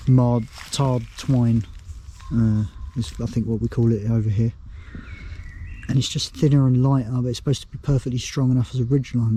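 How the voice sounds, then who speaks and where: A British man, slightly hoarse, talking casually to camera outdoors in the woods, rambling and thinking aloud with many ums and restarts. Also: mid-range voice, warm tone, slight background noise. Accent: British English. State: slightly hoarse, losing his voice. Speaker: outdoors vlogger. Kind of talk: casual vlog talk to camera.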